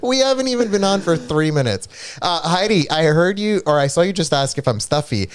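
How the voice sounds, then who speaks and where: A man's voice: fairly high pitch, brisk, clear, resonant tone. A man co-hosting a live stream, chatting casually and greeting viewers, with a congested, stuffy-nosed voice. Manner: chatty, reads viewer comments aloud, animated asides. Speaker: streamer co-host.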